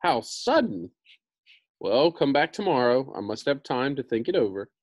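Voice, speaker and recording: A man's voice: mid-range voice, very animated, moderate pace, dry recording, pitched above the performer's own voice. An American man voicing a little old humbug wizard, timid and evasive, in a storybook read-aloud. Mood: imperious, startled.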